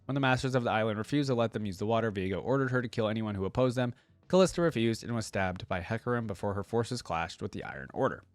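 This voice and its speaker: A man's voice: on the low side, animated, fast talker, no room sound. Man with a very dry, close sound. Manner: interjects casual asides while reading. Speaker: reaction video host. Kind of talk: reading a game wiki aloud with casual commentary.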